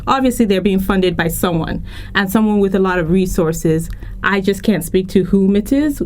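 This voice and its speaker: A woman's voice: medium pitch, darkish tone. Woman giving earnest interview commentary. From Lagos, Nigeria. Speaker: interview guest.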